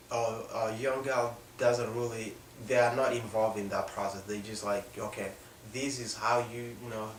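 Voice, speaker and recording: A young man from South Sudan with a South Sudanese accent tells a personal story unscripted, in a halting way with pauses mid-sentence. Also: fairly low pitch, fairly bright tone. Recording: echoey room, distant mic, faint noise.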